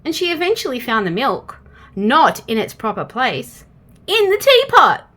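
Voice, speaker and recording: Lively, bright-voiced Australian woman reading a storybook. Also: sits high, normal speed. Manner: expressive, asks the listener questions. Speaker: storytime reader for young children. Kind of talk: children's storybook read-aloud.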